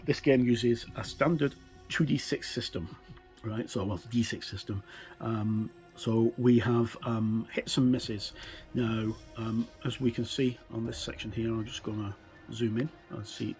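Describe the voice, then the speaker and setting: A middle-aged man talks through material in a casual, explanatory way. His voice sits at an average pitch, and he often hesitates as he finds his words. Music plays behind him. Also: darkish tone. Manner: informal, explanatory, halting with frequent hesitations. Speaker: tabletop game reviewer. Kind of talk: casual unscripted walkthrough commentary.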